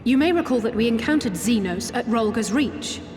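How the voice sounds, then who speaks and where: An actress playing an earnest, cautious young woman strategist in a video game, speaking formally and with measured care. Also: a little high, animated, lightly acted. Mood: grave, cautioning. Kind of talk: dramatic video game voice acting. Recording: echoey hall-like reverb under music.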